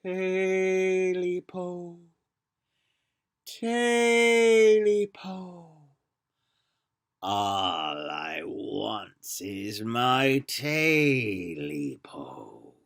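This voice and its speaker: A storyteller voicing a vengeful male rat spirit, chanting slowly and dropping into a hoarse whisper. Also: medium pitch, very animated, exaggerated voice. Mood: eerie. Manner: slow drawn-out chant sliding to a hoarse whisper. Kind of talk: folk tale storytelling.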